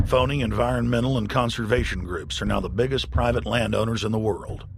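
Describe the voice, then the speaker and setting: A man with a gravelly voice reading scripted, accusatory documentary narration in a forceful way. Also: lowish voice, a little fast. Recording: voice-over with clear background noise.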